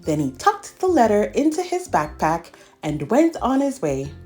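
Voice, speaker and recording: A woman reading a picture book aloud to children in an expressive, animated way, with friendly asides to the listeners. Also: mid-range voice.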